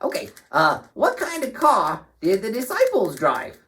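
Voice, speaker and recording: A woman voicing an excitable, jokey young boy puppet in playful, exclamatory children's puppet show banter. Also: medium pitch, a little slow, slight background noise, voice pushed up. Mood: excited, playful.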